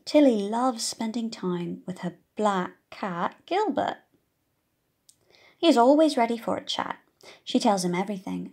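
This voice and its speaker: A woman reads a picture book aloud for children in a fairly high voice with a soft, husky edge. Her delivery is very slow and expressive. She addresses young listeners in an engaging, questioning way, as a teacher would. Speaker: audiobook reader for children.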